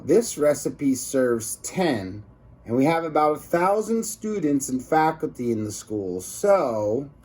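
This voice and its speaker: Guy reading as eager cheery lunch lady from a kids storybook, slow and sing-song. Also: on the high side, breathy edge, full character voice. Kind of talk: children's storybook character reading.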